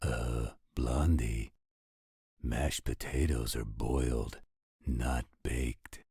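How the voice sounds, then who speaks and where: Man playing a very deep-voiced, patient partner. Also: fairly even intonation, very slow, warm tone, slightly rough, dry recording, studio quality, full character voice. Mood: coaxing, insistent. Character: easygoing male partner soothing his mate, potato-loving. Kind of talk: scripted roleplay audio drama.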